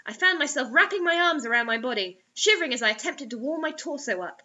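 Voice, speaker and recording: A young adult woman giving an expressive, theatrical, mocking reading of a story on a webcam in a small room. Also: high-pitched, fast, dull audio. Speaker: host reading bad fiction aloud.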